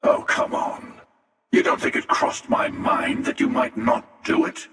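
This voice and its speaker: An actor playing a smug, world-weary older hunter in a video game, with a gravelly voice, a measured sardonic delivery and an echoing reverb effect. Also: male voice, fairly bright tone. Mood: calm, calculating. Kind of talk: video game character dialogue. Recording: echoing long reverb, distant-sounding.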